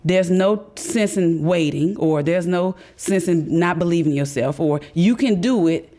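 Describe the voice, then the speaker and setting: A woman's voice: lowish voice, unhurried, mellow. A woman from Chicago hosting a podcast interview, talking in a conversational, reflective, encouraging way. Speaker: podcast host. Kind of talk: casual interview.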